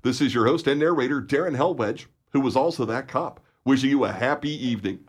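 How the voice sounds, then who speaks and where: A man's voice: medium pitch, a little fast, breathy edge. A man hosting and narrating a radio theater show, reading scripted announcements. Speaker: host and narrator.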